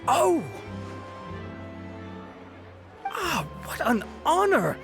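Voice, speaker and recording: An actor playing a vain, smooth-talking researcher delivering a pompous, polished sales speech in a video game. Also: male voice, very high-pitched, sing-song, takes it slowly, fairly bright tone, faint music behind, heavily acted. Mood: showy. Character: vain, smooth-talking handsome male researcher pitching his invention. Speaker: inventor giving product pitch.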